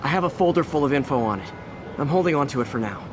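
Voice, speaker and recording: An actor playing a friendly, earnest young American superhero in a video game, talking in a casual, slightly awkward way. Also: male voice, fairly high pitch, expressive, fast talker, background noise, close to an everyday voice. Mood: earnest, urgent. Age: young adult. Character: earnest, friendly young male superhero juggling everyday life.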